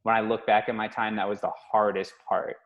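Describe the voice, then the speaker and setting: A man thoughtfully telling about his experiences abroad over a video call, measured and reflective. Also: fairly low pitch, little pitch movement, phone-quality sound. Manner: thoughtful, measured, some restarts. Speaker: workshop participant. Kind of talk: reflective personal story.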